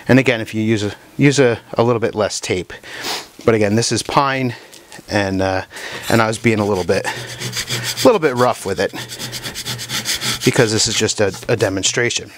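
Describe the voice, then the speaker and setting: A man's voice: lowish voice, a little hiss or hum. A man teaching hand-tool woodworking in his shop, talking casually with frequent fillers and restarts while he demonstrates. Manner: relaxed, halting, many fillers and restarts.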